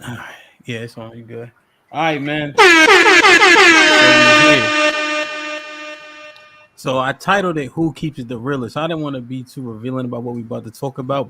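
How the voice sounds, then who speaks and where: A man's voice: mid-range voice, noisy background. A man hosting a casual live-stream talk show, loose and joking with slang. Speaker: podcast host.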